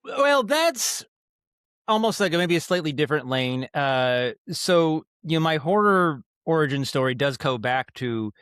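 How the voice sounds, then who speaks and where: An American man speaking as a podcast guest, telling stories in a casual, rambling and animated way. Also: on the high side, a little slow, clean tone, dry recording, studio quality. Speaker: podcast guest, audio drama writer and producer. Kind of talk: casual interview storytelling.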